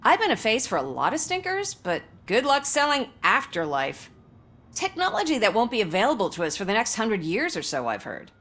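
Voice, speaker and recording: A woman's voice: a little high, wildly swooping pitch, fairly quick, fairly bright tone, full character voice. A woman playing a breezy, cynical commercial actress in a video game, talking in a wry conversational way. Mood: wry, casual. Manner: wry, casual.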